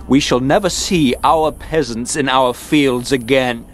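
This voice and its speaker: A man playing a grim, slow-spoken medieval man. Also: mid-range voice, slight background noise, lightly acted. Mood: bleak regret. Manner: slow, sombre, deliberate. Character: grim, regretful male medieval narrator of doom. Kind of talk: historical radio drama acting.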